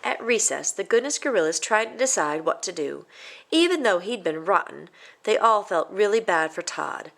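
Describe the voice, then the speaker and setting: A woman reading a children's picture book aloud as expressive story narration. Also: medium pitch, normal speed, gravelly. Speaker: storybook reader.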